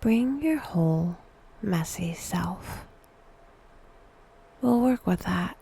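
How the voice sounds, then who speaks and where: A woman narrating a scripted guided meditation in a hushed, breathy, often near-whispered voice, with a wry, dry delivery. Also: fairly low pitch, expressive, drawn-out words.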